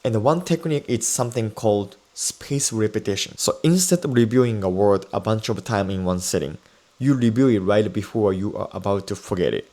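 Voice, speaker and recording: A man's voice: lowish voice, darkish tone. A Japanese man, a native speaker with a Japanese accent, explains study tips in an earnest step-by-step video lesson. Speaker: Japanese teacher.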